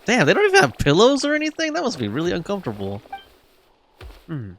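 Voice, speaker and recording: A woman's voice: mid-range voice, huge pitch swings, fast, slight background noise. A woman giving casual let's-play commentary, with amused, slangy reactions. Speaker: gaming streamer.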